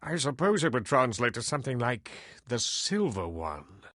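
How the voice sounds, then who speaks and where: An actor playing an ancient, reclusive elf sage in a video game, sardonic and weary, with theatrical, deliberate delivery. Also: male voice, medium pitch, very animated, fairly bright tone, no room sound, clean studio sound. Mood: wistful. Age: older.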